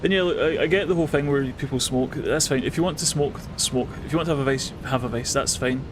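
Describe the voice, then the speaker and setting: A man's voice: mid-range voice, quick pace, noisy background. A man with a Scottish accent, streaming a game and chatting casually with his viewers, swearing now and then. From Scotland. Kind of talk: casual commentary while gaming. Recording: game music behind voice.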